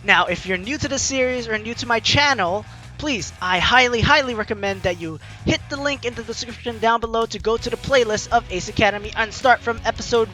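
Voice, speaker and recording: Guy doing a let's play, bright highish voice, chatty, lots of little asides. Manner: animated, chatty, self-interrupting asides. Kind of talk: game commentary mixed with reading dialogue aloud.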